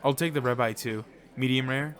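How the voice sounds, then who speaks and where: A man's voice: mid-range voice, fairly quick, a bit bright, lightly acted. Casual, teasing male buddy, acted by a man. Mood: joking, casual. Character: Ed, joking, laid-back male buddy of the hero. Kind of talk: casual banter in amateur audio fiction.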